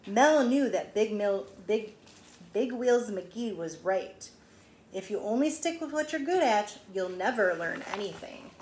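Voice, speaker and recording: A woman reading a picture book aloud to young children like a teacher, emphatic, with explanatory asides. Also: medium pitch. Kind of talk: expressive read-aloud storytelling for young children. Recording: echoey room with faint background noise.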